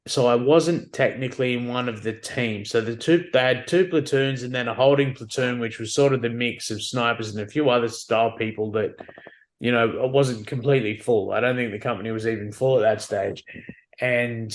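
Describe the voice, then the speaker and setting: A man's voice: medium pitch, fairly quick. Australian man telling war stories. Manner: relaxed, candid, acts out quotes. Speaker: guest, army veteran. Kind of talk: casual storytelling chat.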